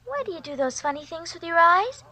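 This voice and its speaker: A woman's voice: very high voice, sing-song, a little fast, bright, forceful tone, full character voice. An actress playing an innocent, curious little schoolgirl in 1940s radio drama style. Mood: uneasy, wondering. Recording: vintage 1940s radio recording.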